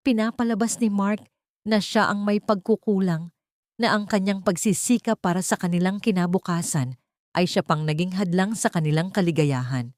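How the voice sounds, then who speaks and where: A woman's voice: medium pitch, moderate pace, a little mellow, dry recording, studio quality. A woman narrating a scripted audio story in a dramatic storytelling style.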